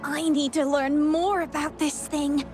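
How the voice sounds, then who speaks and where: Very high, excitable young game heroine. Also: female voice, a little slow, over music. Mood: excited, curious. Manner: expressive, excitable. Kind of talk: scripted video game voice acting.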